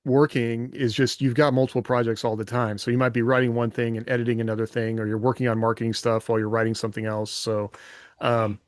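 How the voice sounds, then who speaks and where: A man's voice: medium pitch, flat delivery, fast talker, no room sound, clean studio sound. A man hosting a podcast in a casual, chatty style. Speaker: podcast host. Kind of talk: casual chat.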